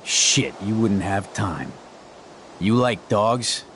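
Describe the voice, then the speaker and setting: An actor with an American accent playing a volatile, defensive dog owner in a video game, talking in a gruff, confrontational, foul-mouthed way. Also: male voice, fairly low pitch, animated, slow pace, a bit bright, slight background noise. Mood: irritated. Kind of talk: dramatic video game voice acting.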